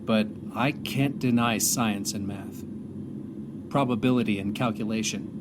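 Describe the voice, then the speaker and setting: A man playing a stranded astronaut commander, reflective and hopeful, delivering a solemn, measured audio drama monologue with pauses. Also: lowish voice, noisy background, close to an everyday voice. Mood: reflective, resolute. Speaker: narrator and lead of an audio drama. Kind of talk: dramatic first-person monologue. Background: music.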